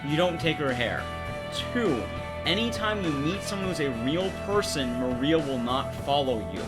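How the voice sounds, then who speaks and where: A man giving casual, conversational live stream commentary on a game, explaining things directly to his viewers. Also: a little high. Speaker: streamer and horror game speedrunner.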